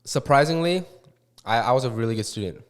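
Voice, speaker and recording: A man in his twenties, a real estate investor, talking as a guest in a casual interview. He talks quickly and haltingly, with many restarts and fillers. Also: mid-range voice, expressive, smooth. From Korea and California. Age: 20s.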